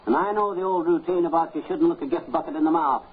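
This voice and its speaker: A man's voice: fairly high pitch, fast talker, lightly acted. An American man playing a blustery, folksy, wisecracking married man in a 1950s radio comedy. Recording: tinny, noisy old radio recording.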